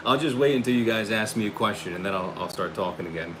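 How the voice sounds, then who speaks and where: Chatty man, air conditioner humming. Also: moderate pace. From United States. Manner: upbeat, enthusiastic pitch with friendly asides. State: says he is losing his voice. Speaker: channel host selling his own hair product. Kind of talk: casual livestream chat, reading viewer questions. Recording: steady air conditioning hum behind.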